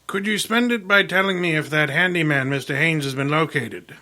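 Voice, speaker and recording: An imperious private detective boss acted by a man in a radio mystery. His voice is fairly high for a man, bright, with a gravelly creak. He speaks formally and dryly, probing shrewdly. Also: brisk. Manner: formal, dry, probing questions. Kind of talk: old time radio mystery acting.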